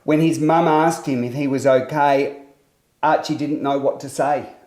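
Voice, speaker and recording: A man reading a scripted children's story as storyteller, with expressive, deliberate phrasing in a roomy, echoing space. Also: fairly high pitch.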